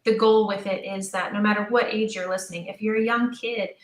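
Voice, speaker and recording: A woman's voice: mid-range voice, fairly even intonation, a little fast. Enthusiastic woman in a roomy, echoing space. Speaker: guest, audio drama creator. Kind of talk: enthusiastic interview conversation.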